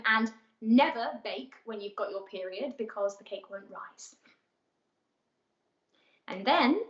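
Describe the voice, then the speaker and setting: A woman playing a wry daughter recalling her mother in a conversational, dry contemporary stage monologue. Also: a little high. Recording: roomy, dull livestream audio.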